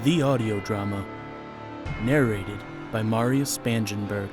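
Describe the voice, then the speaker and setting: A man's voice: lowish voice, measured pace. A man reading a scripted credits announcement for an audio drama. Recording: loud music bed.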